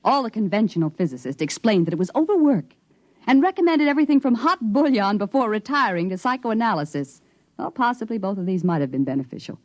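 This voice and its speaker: Coolly commanding lady scientist, articulate and businesslike; medium pitch, little room sound, 1950s radio thriller. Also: no room sound. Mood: brisk, serious. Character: cool, ambitious woman scientist, persuasive and businesslike. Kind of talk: 1950s radio drama acting.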